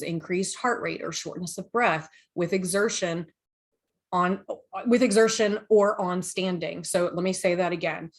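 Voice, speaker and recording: A woman from the United States hosting a podcast, chatting enthusiastically and sometimes reading from her notes. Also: medium pitch. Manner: chatty, enthusiastic, lively asides. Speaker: podcast host.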